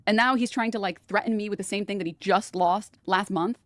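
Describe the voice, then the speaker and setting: A woman streamer with a mid-pitched voice explaining herself in an exasperated, sarcastic tone. The recording is close and dry. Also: fairly quick, no echo.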